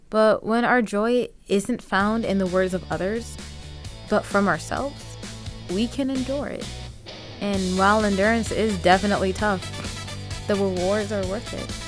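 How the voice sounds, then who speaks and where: A woman's voice: on the high side, dry recording. A young adult woman hosting a radio show in a casual, scripted style. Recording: faint music bed under the voice.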